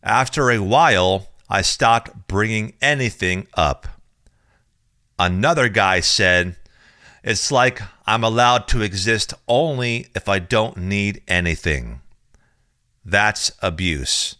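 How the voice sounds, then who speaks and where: A man reading a chapter of his own book aloud in a slow, measured, deliberate way, recorded close on a studio mic. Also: fairly low pitch, lively intonation, bright, forceful tone, no echo. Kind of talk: audiobook reading.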